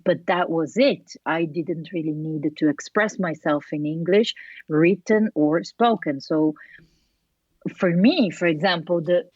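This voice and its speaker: A woman with a strong Italian accent, speaking English as her second language in a relaxed interview chat. Her voice sits low for a woman and sounds dry and a little muffled. She pauses often with fillers and restarts her sentences. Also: breathy edge, dry recording. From Italy. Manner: thoughtful, with many fillers and restarts. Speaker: podcast guest.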